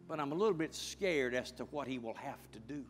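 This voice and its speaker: A middle-aged male pastor preaching and praying fervently before his congregation, building to loud prayer. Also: mid-range voice, expressive, fairly quick. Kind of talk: sermon and prayer. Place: large church sanctuary with congregation. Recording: church hall with music behind.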